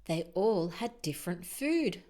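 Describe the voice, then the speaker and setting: Expressive, unhurried woman reading to children. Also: lowish voice. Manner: expressive, explains pictures, puts questions to young listeners. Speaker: storyteller reading a picture book to children. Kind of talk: children's story read-aloud with chatty asides.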